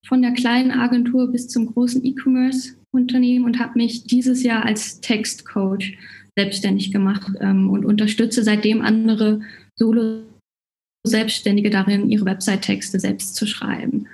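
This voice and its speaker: Female podcast guest, German accent, slightly high-set voice, casual and conversational, a coach talking about her own work. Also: flat delivery, measured pace, darkish tone, low-quality recording. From Cologne, Germany.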